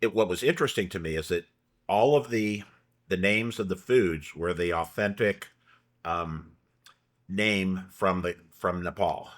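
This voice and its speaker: A man hosting a local food show, interviewing a guest in a relaxed, halting way with frequent restarts. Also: sits low. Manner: halting, many restarts and fillers. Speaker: show host. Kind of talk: conversational interview.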